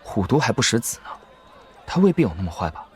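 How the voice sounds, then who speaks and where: A man's voice: mid-range voice, big pitch swings, fast talker, slight background noise, lightly acted. A man playing a wary young cultivator who leads a group, in a Chinese audio drama. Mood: gentle, questioning. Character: wary young male cultivator, quietly troubled group leader.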